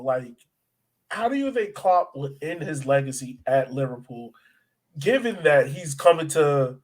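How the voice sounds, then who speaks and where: Higher-pitched man hosting a sports podcast. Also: low-quality recording. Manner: conversational, informal, poses long questions. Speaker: podcast host. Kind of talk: casual sports podcast chat.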